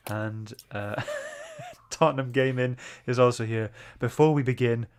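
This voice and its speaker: A man's voice: mid-range voice, measured pace, smooth. A man hosting a book club livestream, chatting informally and greeting viewers. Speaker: host.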